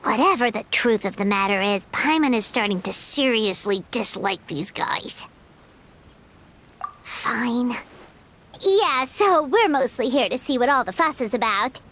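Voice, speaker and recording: Bouncing high and bright, this is a tiny fairy companion from a game, cheeky and skeptical, talking about herself in the third person. Her pitch leaps all over, and soft game music hums behind her. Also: animated, unhurried, slight background noise. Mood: wary. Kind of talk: game voice acting.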